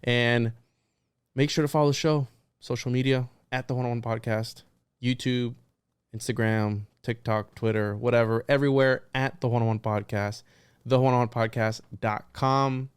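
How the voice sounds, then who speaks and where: A man hosting a podcast, slightly raspy from a cold, joking in casual banter. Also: medium pitch, steady tone, normal speed, no echo, studio quality. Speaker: podcast host.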